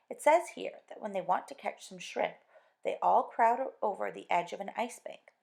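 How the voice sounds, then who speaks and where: A woman's voice: medium pitch, vocal fry. A woman doing an eager, dreamy husband. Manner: enthusiastic, earnest.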